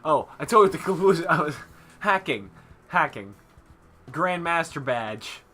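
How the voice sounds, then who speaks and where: A man's voice: a little high, animated, a little slow, bright, forceful tone. A young man hosting a let's play, joking casually and reading game text aloud. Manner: joking, bantering. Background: background music.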